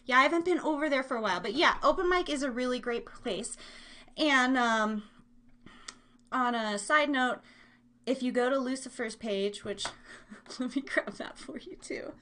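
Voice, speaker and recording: Female podcast host, higher-pitched voice, chatty and rambling, playful and self-mocking, talking off the cuff to live listeners. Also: moderate pace, sharp tone. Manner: playful exaggeration and silly made-up words. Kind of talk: casual live chat show, talking to listeners in chat.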